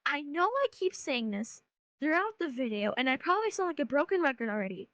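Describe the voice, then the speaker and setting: A woman's voice: sits high, a bit bright, no echo, low-quality recording. A woman delivering rant commentary in a sarcastic, blunt way with swearing. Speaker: video host, commentary creator.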